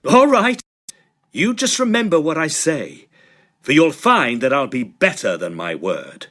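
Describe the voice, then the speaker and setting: A man's voice: on the high side, very animated, a little slow, fairly bright tone. An actor playing an intense, shaken young Victorian man in a radio drama, speaking with urgent, dramatic emphasis. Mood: angry, threatening.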